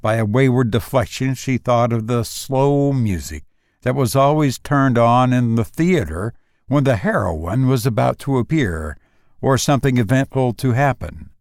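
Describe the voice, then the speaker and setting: Slow, measured male story narrator. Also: medium pitch, lively intonation, darkish tone, no echo, clean studio sound. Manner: measured, dramatic, with deliberate pauses. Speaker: audiobook narrator. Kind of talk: scripted literary story narration.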